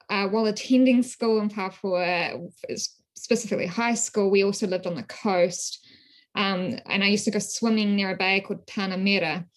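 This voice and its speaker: A woman from New Zealand with a New Zealand accent, a scholar speaking in a thoughtful, measured way with frequent ums. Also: mid-range voice. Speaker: guest scholar. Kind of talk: academic interview.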